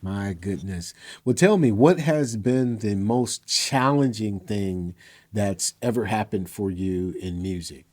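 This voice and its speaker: Mellow-voiced male interviewer. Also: lowish voice. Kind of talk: casual interview chat.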